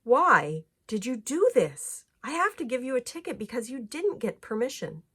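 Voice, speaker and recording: A woman reading the part of a stern policeman in a children's storybook, scolding with drawn-out emphasis. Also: a little high, sing-song, a bit bright, slightly rough, pitched above the performer's own voice, full character voice.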